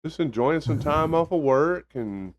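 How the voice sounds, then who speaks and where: A young man with a monotone baritone voice, co-hosting a casual podcast chat. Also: a little slow. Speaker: podcast co-host.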